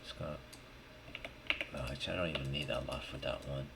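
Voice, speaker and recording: A man thinking aloud in a casual screen-recorded software tutorial, muttering in short, slangy fragments as he works. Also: a bass voice, steady tone, quick pace. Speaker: 3D modeling tutorial host. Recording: clear background noise, keyboard and mouse clicks. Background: typing and mouse clicking.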